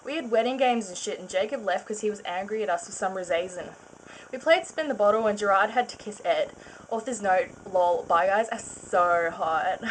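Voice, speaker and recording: A woman's voice: mid-range voice, a little hiss or hum, rough recording. A woman giving a mock-dramatic reading of fan fiction, giggling and breaking off for sarcastic asides. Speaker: reader of her own fan fiction.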